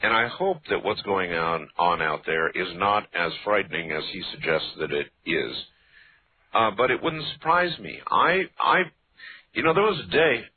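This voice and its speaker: Fifties radio host guy, husky low voice, kinda stumbly, tinny narrow sound. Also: very animated. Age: 50s. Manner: halting, repeats words. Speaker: radio talk show host. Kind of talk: casual radio talk. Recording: tinny broadcast phone-band audio.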